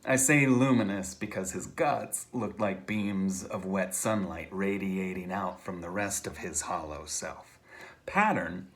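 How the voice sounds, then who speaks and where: A man, an author, reading his novel aloud in a conversational, halting first-person style with theatrical emphasis. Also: sits low.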